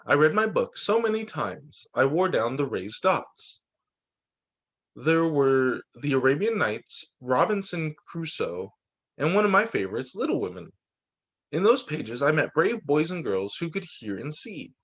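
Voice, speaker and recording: A man reading a picture book aloud to children as a teacher, in an expressive, dramatizing way. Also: a little high, measured pace. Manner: expressive, dramatizing quoted lines.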